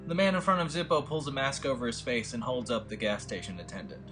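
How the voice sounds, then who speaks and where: A man reading the scene headings and action lines of a screenplay in a table read, in a plain, steady way. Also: mid-range voice, quick pace, over music. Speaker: script reader of stage directions.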